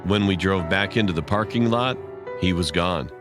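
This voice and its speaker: A man's voice: low voice, normal speed. A man reading a scripted horror story as its narrator. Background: music.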